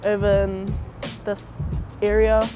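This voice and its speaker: A young man speaks in a relaxed, soft-spoken way, full of casual slang. He is recorded outdoors on a city street. A distinct music bed runs underneath his voice. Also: high-pitched, takes it slowly. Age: young adult. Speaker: interviewee. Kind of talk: casual interview.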